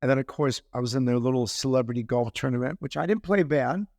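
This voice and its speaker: A man's voice: mid-range voice, animated, fast, gravelly, a little mellow, dry recording. Easygoing man podcast co-host, dry sound. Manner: rambling, easygoing. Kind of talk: casual chat.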